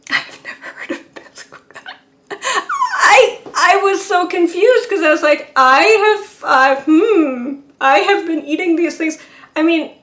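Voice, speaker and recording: A woman's voice: very high-pitched, animated, takes it slowly, fairly bright tone. A woman hosting a live watercolour painting stream, chatting with viewers in a rambling, laughing way. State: sore neck.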